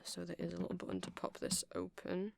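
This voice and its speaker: A woman's voice: fairly low pitch, sing-song, fast, gravelly. A woman giving a rambling, chatty ASMR demonstration, explaining things step by step. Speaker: ASMR creator.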